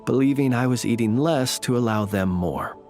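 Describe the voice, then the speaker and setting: A man voicing a courteous, modest gentleman in a video game, speaking in a measured, polite way with wry understatement. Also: medium pitch, soft background music, lightly acted. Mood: gently reflective. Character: courteous, gentle male lantern bearer, modest and wryly humorous. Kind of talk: video game character dialogue, storytelling.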